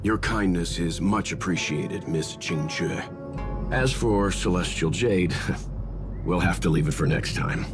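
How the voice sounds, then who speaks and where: A man playing a composed, mature gentleman in a video game, speaking politely and formally. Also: deep voice, unhurried. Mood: courteous. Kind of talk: video game cutscene acting. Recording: music behind the voice. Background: music.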